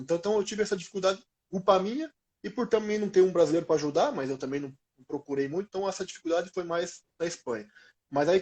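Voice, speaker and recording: Higher-voiced Brazilian man chatting casually. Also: darkish tone. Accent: Brazilian Portuguese. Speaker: former professional footballer being interviewed. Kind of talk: casual interview chat.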